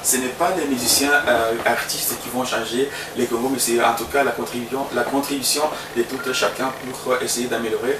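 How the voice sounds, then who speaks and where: A man hosting a web TV interview, addressing viewers formally and filling pauses with hesitations. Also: mid-range voice, fairly even intonation. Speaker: interview host. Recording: roomy sound from a distance with background noise.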